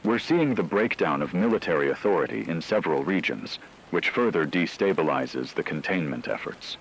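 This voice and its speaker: Male actor as radio drama news anchor: very low pitch, measured and factual, grave, faintly hissy broadcast. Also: animated, poor audio. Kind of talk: scripted emergency news report.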